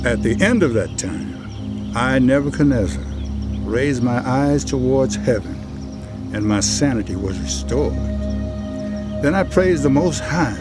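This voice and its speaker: A proud, majestic king in an audio Bible drama. He declaims regally in a medium-pitched voice, with loud music swelling behind him.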